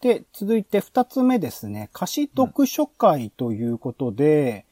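A male podcast host talks through plans casually. His voice is mellow and a little husky, and the pitch moves around a lot. He hesitates often, drawing out filler vowels and rephrasing mid-thought. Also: huge pitch swings, dry recording, studio quality. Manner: halting, with drawn-out filler vowels and self-corrections. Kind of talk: casual planning talk, explaining proposals.

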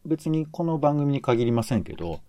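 A man's voice: mid-range voice, sing-song, moderate pace, mellow, dry recording. A man co-hosting a casual podcast discussion, explaining his points as he thinks aloud. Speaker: podcast co-host. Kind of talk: casual podcast discussion critiquing radio shows.

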